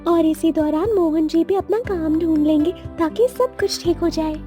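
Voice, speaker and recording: Woman as a very high-pitched, devoted daughter-in-law. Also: moderate pace, mellow, soft background music. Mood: grateful, hopeful. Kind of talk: Hindi family moral story acting.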